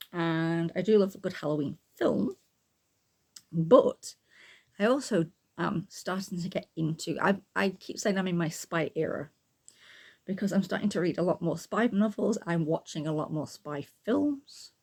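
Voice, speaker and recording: A middle-aged woman, croaky from a frog in her throat, chatting to camera in a rambling way full of ums and throat clearing. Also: lowish voice, lively intonation, normal speed, a little mellow. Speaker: book and art YouTuber. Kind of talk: casual chat to camera.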